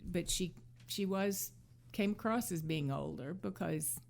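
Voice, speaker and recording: Creaky-voiced woman chatting casually. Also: lowish voice, measured pace, a little mellow. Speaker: podcast co-host. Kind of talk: casual chat about classic TV.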